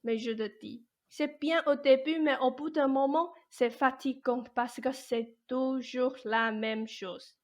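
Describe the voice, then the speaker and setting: Woman playing a high-voiced, slow, world-weary fairy godmother. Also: fairly even intonation. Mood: weary, nostalgic. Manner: blunt, colloquial, world-weary. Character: jaded 874-year-old immortal fairy godmother, grumbling but mischievous. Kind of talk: stage-like radio play acting.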